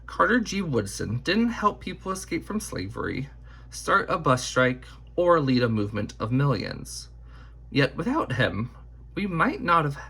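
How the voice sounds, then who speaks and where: A man reading a children's picture book aloud in deliberate, emphatic phrasing. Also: mid-range voice, creaky, sharp tone, slightly rough. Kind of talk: scripted storybook read-aloud.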